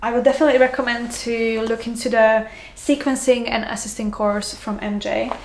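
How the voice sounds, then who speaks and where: A woman's voice: fairly high pitch, level tone, moderate pace, reverberant, a little hiss or hum. A young woman from Slovakia with a mixed Slovak-Hungarian accent, chatting to camera in a casual, rambling way, full of ums, restarts and easy laughs. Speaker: yoga teacher vlogger.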